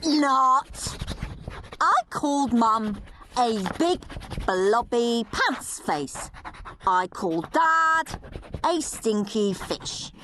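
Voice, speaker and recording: A woman's voice: fairly high pitch, lively intonation, drawn-out words, pushed and bright, slight background noise, exaggerated voice. A British woman voicing a naughty boy who gleefully fakes his little brother's diary, mocking and drawing out the words, in a children's audiobook. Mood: gloating. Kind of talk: children's audiobook character reading.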